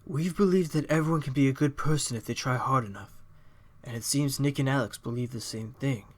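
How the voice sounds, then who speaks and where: A man's voice: mid-range voice, a little fast, close to an everyday voice. A man playing a compassionate, reflective hero in an audio drama, speaking earnestly.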